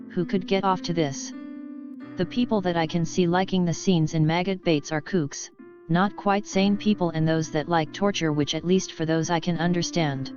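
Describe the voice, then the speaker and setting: A woman with a low voice reads out a scripted review as a blunt, opinionated critic. The recording is a little dull and lo-fi, with guitar music playing clearly behind her. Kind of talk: scripted video review narration.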